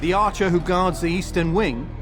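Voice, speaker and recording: A man's voice: high-pitched, moderate pace, music in the background, lightly acted. A man playing a concerned, inquisitive townsman and discreet confidant in a video game. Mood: concerned, curious. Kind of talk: video game cutscene acting.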